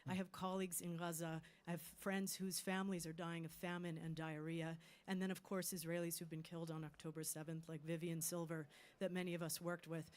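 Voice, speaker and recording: A woman's voice: lowish voice, level tone, brisk, few pauses, darkish tone. A woman speaking earnestly on a panel, with hesitant restarts. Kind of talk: panel discussion.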